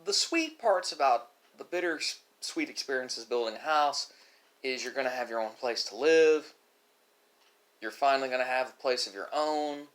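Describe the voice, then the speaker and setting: A man speaking off the cuff to his viewers in a philosophical monologue, halting often and correcting himself as he goes. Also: mid-range voice, a bit bright. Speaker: ASMR video creator. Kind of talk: unscripted musing monologue to viewers during a mukbang.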